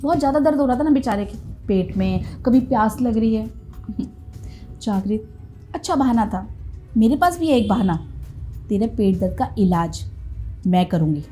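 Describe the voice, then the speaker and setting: An actress as a stern female tutor in a short drama. Her high-pitched voice has a sarcastic, scolding edge, with background music under it. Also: lively intonation, normal speed, pauses often, dark tone, lightly acted. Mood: sly, sarcastic. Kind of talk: acted Hindi short drama.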